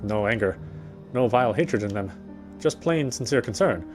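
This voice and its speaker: A man streaming a game, reading its text aloud as measured, dramatic narration with occasional stumbles. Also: lowish voice, lively intonation, a little mellow. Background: game music.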